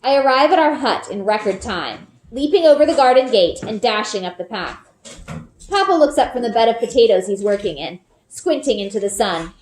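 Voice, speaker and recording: A woman narrates a children's story with a fairly high voice. Her intonation is very lively and expressive, shaped carefully for young listeners. The recording has a clear room echo. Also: a little fast, slight background noise. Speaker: storybook reader. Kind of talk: expressive read-aloud narration of a children's fantasy novel. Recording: echoey, reverberant room.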